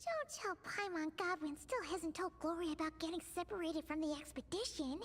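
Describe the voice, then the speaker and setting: A woman voicing a small, excitable fairy companion in a video game, childlike and given to exclaiming. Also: extremely high pitch, brisk. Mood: concerned. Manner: exclaiming, refers to self in third person. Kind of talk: animated video game acting.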